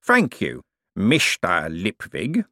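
A man's voice: mid-range voice, big pitch swings, measured pace, a bit bright, no echo, studio quality, pitched above the performer's own voice. A man voicing an old con man posing as a repentant preacher, solemn and drawn out, with mushy false-toothed speech. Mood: sly, sardonic.

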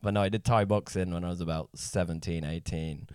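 A man's voice: deep voice, steady tone, fairly quick, darkish tone, clean tone, no room sound, studio quality. A man in his thirties, a comedian guest on a casual podcast, telling wild stories with lots of laughter and swearing. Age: 30s.

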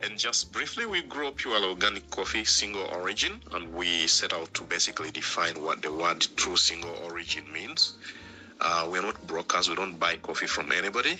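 A man's voice: deep voice, moderate pace, bright, forceful tone, soft background music. A man who has a cold, speaking as a coffee company director on an online panel over remote call audio, chuckling and enthusiastic. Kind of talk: casual online panel talk.

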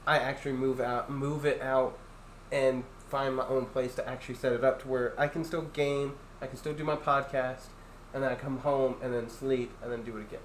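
Loud young man in an echoey room. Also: level tone, fairly quick. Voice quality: loud deep voice that carries. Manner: rambling, many restarts and fillers. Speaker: podcast host. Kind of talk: casual chat. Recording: echoey room, faint ambient noise.

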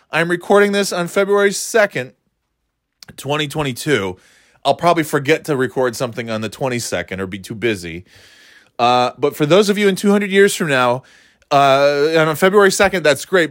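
A middle-aged man with a slightly stuffy nose, hosting a rambling solo chat, meandering and breaking off mid-thought, then getting playfully excited. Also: medium pitch, dry recording, studio quality. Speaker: podcast host.

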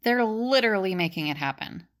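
A woman playing a serious, earnest seer who has visions, in an audio drama, solemn and deliberate. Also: mid-range voice, lively intonation, lightly acted. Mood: urgent.

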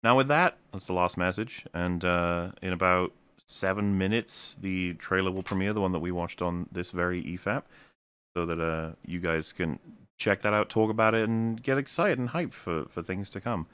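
A man's voice: low-pitched, normal speed, a bit bright, clean tone, no room sound. A man hosting a casual stream chat, rambling loosely through viewer messages and swearing now and then. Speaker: host reading viewer messages.

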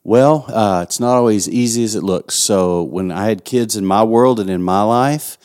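Middle-aged man, West Texas accent, very deep voice, pastor, joking casual podcast talk. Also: normal speed, a little mellow, clean tone. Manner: jokey, playful banter. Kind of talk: casual chat.

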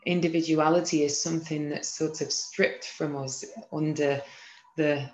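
Lowish-voiced English woman interviewing, roomy sound. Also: fairly even intonation, unhurried. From Manchester, England. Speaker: podcast interviewer. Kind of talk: casual conversational interviewing. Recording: roomy echo, slightly dull lo-fi sound.

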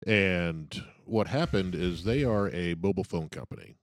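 Low-voiced, lively man raising topics. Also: normal speed, no echo, studio quality. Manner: lays out theories and brings up topics. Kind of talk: casual podcast chat.

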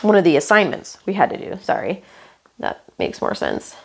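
A young woman hosting a podcast, hoarse and stuffed up from illness, rambling casually with lots of fillers and apologies while walking around her house on a headphone mic. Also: lowish voice, huge pitch swings, moderate pace, a little hiss or hum. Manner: meandering, many fillers and apologies, casual swearing. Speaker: podcast host. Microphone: headphone mic into phone.

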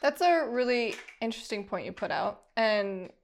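Woman co-host, fairly high voice, outspoken, earnest, casual chat. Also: lively intonation, normal speed, a little hiss or hum. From United States. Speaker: podcast co-host.

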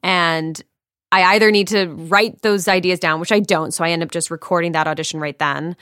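Chatty low-voiced woman, dry close mic. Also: steady tone, fairly quick, a bit bright, clear, resonant tone, dry recording. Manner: chatty, acts out her own inner thoughts. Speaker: voice actor, podcast panelist. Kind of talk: casual chat.

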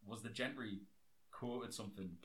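A young man co-hosting a casual podcast, his voice hoarse and nearly gone, talking excitedly and swearing freely. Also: on the low side, normal speed. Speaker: podcast co-host. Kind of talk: casual chat.

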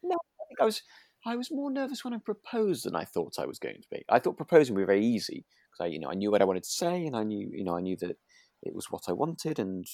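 A man's voice: on the low side, huge pitch swings, fast talker, gravelly, mellow, no room sound, clean studio sound. A British man co-hosting a podcast, chatting casually and ramblingly, often rephrasing as he goes. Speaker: podcast co-host. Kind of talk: casual chat about a radio drama.

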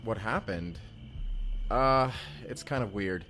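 A man's voice: lowish voice, slow, pushed and bright, a little hiss or hum, rough recording. A man playing an awkward, friendly, evasive young man in an immersive audio drama, chatty and hesitant, often joking. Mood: hesitant, sincere. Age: young adult. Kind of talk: immersive first-person audio roleplay. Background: music.

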